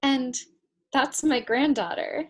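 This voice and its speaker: A woman's voice: high-pitched, slow pace. A woman playing a bewildered, skeptical young woman meeting her future child in a table read, giving short, incredulous replies. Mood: wondering. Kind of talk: virtual table read of a play. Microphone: video call.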